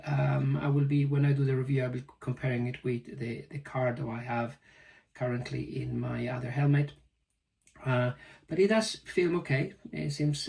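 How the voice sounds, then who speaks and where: A man giving a casual, halting unboxing commentary as a product reviewer. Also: medium pitch, creaky, a little mellow. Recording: distant mic, faint background noise.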